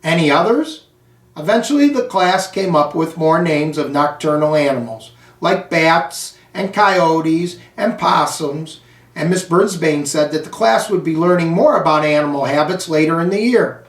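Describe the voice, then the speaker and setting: An American man reading a children's storybook aloud in a deliberate, phrase-by-phrase way. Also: a little high. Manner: slow, deliberate, phrase-by-phrase delivery. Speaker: school superintendent reading aloud. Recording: echoey room, distant microphone.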